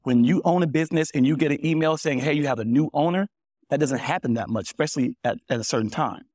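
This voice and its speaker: A man's voice: a little high, fast, a little mellow, no echo, clean studio sound. A man who owns barbershops, speaking plainly on a panel discussion and telling stories from his shop. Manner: plainspoken, anecdotal. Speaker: barber and small business owner.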